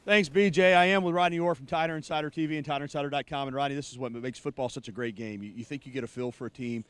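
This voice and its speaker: A man's voice: a little high, racing, smooth, no echo. A man working as a sports reporter, summing up a game emphatically in a broadcast discussion.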